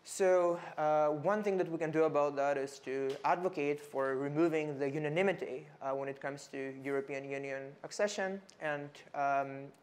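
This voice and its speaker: A young man from North Macedonia speaking as a researcher on a panel, measured, with frequent fillers and repeated words. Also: on the high side. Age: young adult. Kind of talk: panel talk.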